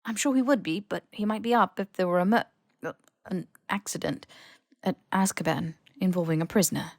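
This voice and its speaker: A woman voicing an earnest, awkward teenage boy wizard in an audiobook, halting and stammering as the character defends himself. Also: fairly low pitch, gravelly, no room sound, studio quality. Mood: pressing, careful.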